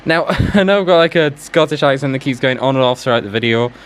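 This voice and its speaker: A chatty man narrates a casual travel vlog with a lively, swooping pitch. He is self-deprecating and informal. There is some ambient noise behind his voice. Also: fast talker. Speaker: travel vlogger.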